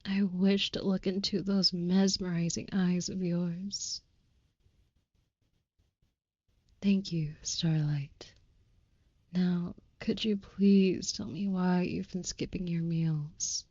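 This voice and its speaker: A woman voicing a devoted, youthful but centuries-old vampire lover in an intimate audio roleplay, speaking softly with breathy, tender, archaic formality. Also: on the low side, steady tone, slow, no room sound, lo-fi sound. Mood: tender, soothing.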